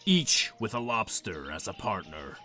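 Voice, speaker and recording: A man playing a brusque, excitable gryphon in an audiobook drama, with swooping emphasis. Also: on the low side, a little slow, faint music behind, heavily acted. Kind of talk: scripted audiobook character acting.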